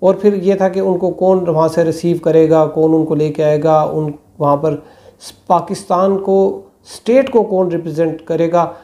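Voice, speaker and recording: A man, giving political commentary to camera in a small room on a lapel mic, measured and full of hesitations. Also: on the high side, steady tone, dark tone. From Pakistan. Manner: measured, many hesitations and fillers. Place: small indoor room with plain walls.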